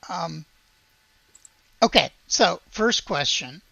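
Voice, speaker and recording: A man's voice: on the high side, lively intonation, takes it slowly, vocal fry, bright, forceful tone, no echo, muffled recording. A man acting as game master, narrating and chatting casually in a hesitant, self-correcting way.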